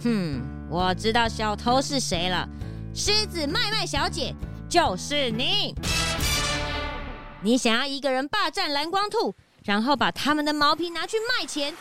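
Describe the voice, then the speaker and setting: A woman voicing an eager, cheeky young detective's assistant in a children's audio drama, inquisitive and excitable. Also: high-pitched, a little slow, over music. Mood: excited, accusing.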